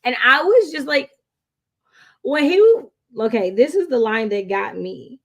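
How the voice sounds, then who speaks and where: An American woman co-hosting a casual book chat, telling things in an animated, slangy way. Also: a little high, measured pace, gravelly. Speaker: book readalong co-host.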